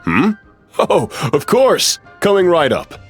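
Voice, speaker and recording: A man's voice: high voice, huge pitch swings, slow, soft background music, full character voice. A man playing an obliging, good-natured middle-aged restaurant chef in an anime game, with chatty shopkeeper patter.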